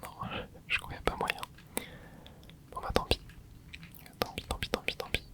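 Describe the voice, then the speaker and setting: A man whispering casual commentary as he plays a video game for an ASMR channel, dropping small interjections and explaining the game to his viewers. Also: normal speed. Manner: soft whispering with little interjections while playing. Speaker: ASMR gaming channel host.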